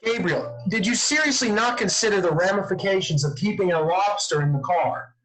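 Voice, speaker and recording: Responsible brother, twenties, sarcastic and fed up, read by a man; higher-than-average pitch, very animated delivery, table-read comedy. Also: fairly quick, low-quality recording. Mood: stern. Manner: sarcastic, scolding. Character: reserved, exasperated responsible 26-year-old brother. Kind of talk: amateur screenplay table read.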